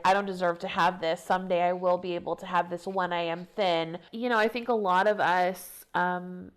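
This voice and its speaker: A woman's voice: lowish voice, smooth. A woman in her thirties, a guest author and activist, speaking earnestly in a reflective podcast interview with frequent fillers. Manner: earnest, animated when excited, many fillers.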